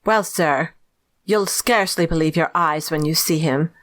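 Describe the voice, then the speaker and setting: A woman's voice: low-pitched, measured pace, fairly bright tone. A woman playing a gossipy, deferential but disapproving housekeeper in an audio drama. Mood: amazed, gossipy.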